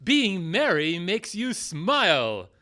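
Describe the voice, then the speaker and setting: Man playing a bright, high-voiced theatrical outlaw leader. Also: wildly swooping pitch, slow pace, exaggerated voice. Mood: earnest pleading. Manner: theatrical, earnest. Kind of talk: radio-play comedy acting.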